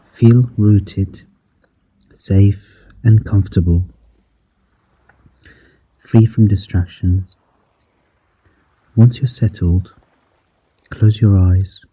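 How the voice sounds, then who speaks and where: A man guiding a breathing meditation in a slow, soft-spoken manner, counting the breaths aloud and dropping some counts to a whisper. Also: on the low side, fairly even intonation, breathy edge, rough recording. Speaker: meditation guide.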